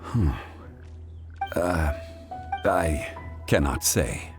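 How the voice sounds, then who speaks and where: An actor playing an ancient, composed god living as a man in a video game, speaking in a measured, formal, grave way. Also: rumbling low, expressive, over loud music, exaggerated voice. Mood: reserved, courteous. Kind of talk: scripted video game voice acting.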